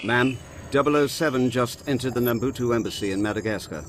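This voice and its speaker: A man's voice: medium pitch, fairly quick, noisy background, lightly acted. Man playing a formal, deferential intelligence aide. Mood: urgently reporting. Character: dutiful intelligence aide reporting to a superior. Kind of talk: scripted video game cutscene acting.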